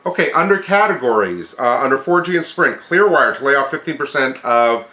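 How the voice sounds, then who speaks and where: Guy doing a casual chatty tech news rundown, bright voice, kinda echoey room. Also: mid-range voice, animated, brisk. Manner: informal, conversational, chatty. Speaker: host of a tech news podcast.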